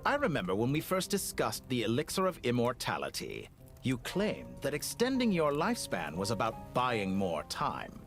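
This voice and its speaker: An actor playing a cold, cultured villainous scientist in a video game, speaking in eloquent, measured, theatrical phrases. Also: male voice, on the low side, creaky, a bit bright, heavily acted. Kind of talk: scripted video game voice acting. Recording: music under the voice.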